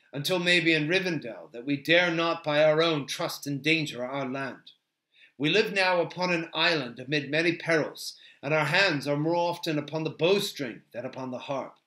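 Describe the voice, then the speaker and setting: Man as a stern, bright-voiced elf sentinel. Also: a little high. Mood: grave. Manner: formal, measured, commanding. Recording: roomy, echoing sound.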